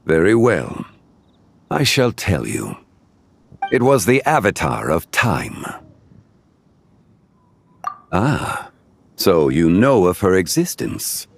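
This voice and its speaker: Deep, solemn immortal guardian. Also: male voice, wildly swooping pitch, gravelly, slight background noise, exaggerated voice. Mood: grave. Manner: measured, formal, grave. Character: solemn, formal, ancient immortal male guardian. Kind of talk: dramatic game voice acting. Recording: faint music behind the voice. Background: game music.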